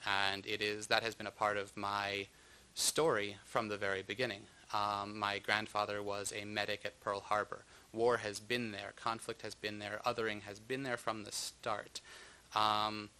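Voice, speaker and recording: A man in his thirties giving an earnest, halting talk to an audience about the children's book he wrote, with frequent pauses and ums. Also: lowish voice, sharp tone, smooth, rough recording. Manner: hesitant, many ums, earnest. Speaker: author of a children's book on war.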